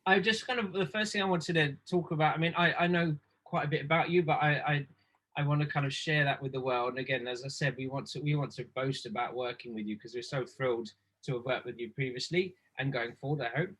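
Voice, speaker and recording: An eager host opens an interview in an everyday mid-range voice. The delivery is enthusiastic but halting, full of fillers and restarts. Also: medium pitch, a bit bright, poor audio. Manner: enthusiastic, stumbling with many fillers. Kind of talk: casual interview chat.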